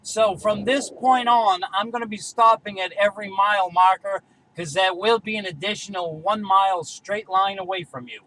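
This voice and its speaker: A man's voice: high voice, moderate pace, pushed and bright, slight background noise, poor audio. A man making casual radio calls, repeating call signs and numbers as a hobbyist would. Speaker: CB radio hobbyist running a range test.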